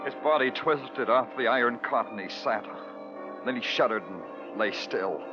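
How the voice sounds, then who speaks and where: An actor playing a world-weary, hard-boiled police detective, terse and sardonic, narrating a 1940s radio noir drama. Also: male voice, mid-range voice, a little slow, close to an everyday voice. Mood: brooding, sardonic. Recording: dull vintage radio recording. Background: dramatic music.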